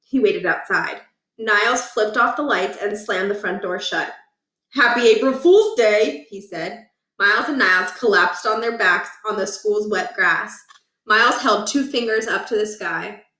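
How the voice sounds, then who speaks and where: A woman reading a children's book aloud in an animated storytelling style. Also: medium pitch, normal speed, echoey room, recorded from a distance, rough recording.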